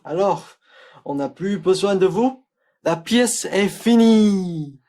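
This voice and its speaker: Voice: mid-range voice, expressive, dark tone. A young performer playing a theatrical older aristocrat in a French farce made as a radio play, melodramatic and declamatory. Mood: dry. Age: young adult. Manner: melodramatic, declamatory, slow delivery. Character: theatrical older male aristocrat claiming a long-lost son.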